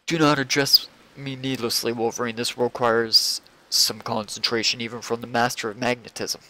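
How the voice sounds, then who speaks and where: A man's voice: mid-range voice, little pitch movement, normal speed, pushed and bright, natural voice, low-quality recording. A man playing a stern, haughty comic-book supervillain who speaks in curt commands.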